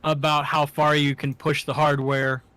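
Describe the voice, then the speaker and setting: A man's voice: a little high, flat delivery, normal speed, fairly bright tone, clean tone. A man co-hosting a casual discussion, tentative and conversational, often checking in as he makes a point. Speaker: podcast co-host.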